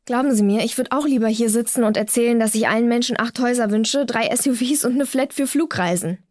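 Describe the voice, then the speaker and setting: A woman's voice: fairly high pitch, fast talker, a little mellow, dry recording, studio quality, lightly acted. A woman playing an earnest, principled young climate activist in naturalistic audio drama dialogue. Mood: indignant, insistent.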